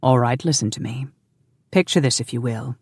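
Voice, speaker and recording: A narrator plays a loving husband in a historical romance audiobook, commanding and easily exasperated. The voice sits at a middle pitch with a stern, sardonic edge. It is recorded very dry. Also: normal speed, darkish tone, breathy edge, no echo.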